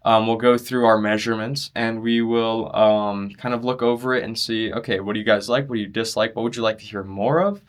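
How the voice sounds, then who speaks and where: A young man in a conversational interview, explaining his loudspeaker design work earnestly and in detail. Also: fairly low pitch, steady tone, brisk, hardly any pauses, clear, resonant tone. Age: young adult. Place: demo room at an audio show.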